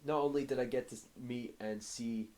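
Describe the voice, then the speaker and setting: A hoarse man with a cold gives a casual progress update to camera, talking haltingly with many filler pauses. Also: mid-range voice, fairly even intonation, a little mellow. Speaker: fitness vlogger.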